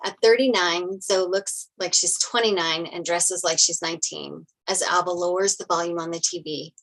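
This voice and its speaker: A woman's voice: on the low side, steady tone, normal speed, gravelly, a bit bright, low-quality recording. A woman reading stage directions as narrator in a table read of a TV script.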